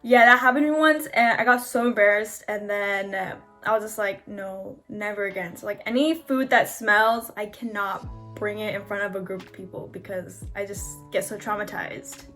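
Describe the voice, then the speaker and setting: A woman's voice: medium pitch, normal speed, few pauses, sharp tone, faint music behind. A young adult woman in a casual chat, rambling through a story with many "like" fillers.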